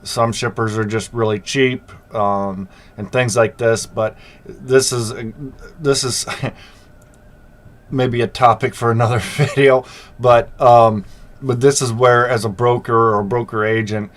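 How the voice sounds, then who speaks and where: A man's voice: medium pitch, steady tone, slow pace. A middle-aged man hosting a freight business channel, talking casually to viewers with a slight sore throat, plenty of fillers and easygoing asides. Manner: conversational, many fillers, reassuring asides. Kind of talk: casual talk to camera.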